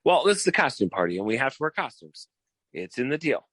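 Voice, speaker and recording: An actor playing a cocky, boastful, theatrical private detective in a 1940s style radio comedy, pitching himself in a showy, wheedling way. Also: male voice, very animated, quick pace, a bit bright, slightly rough, no room sound. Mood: breezy, scheming. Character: cocky, theatrical fast-talking male private detective eager for partnership.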